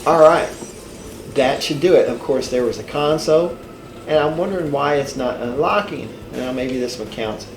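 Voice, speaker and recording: A man's voice: mid-range voice, lively intonation, moderate pace, roomy sound, background noise. An older man with a head cold, sounding congested and stuffed up, giving a rambling, folksy gameplay walkthrough as a channel host. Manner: rambling, folksy, self-correcting. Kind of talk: casual gameplay walkthrough commentary.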